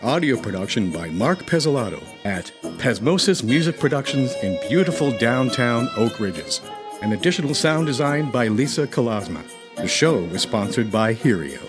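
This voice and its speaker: A man's voice: mid-range voice, big pitch swings, moderate pace. A man announcing in scripted narration, reading production credits. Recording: music bed behind the voice.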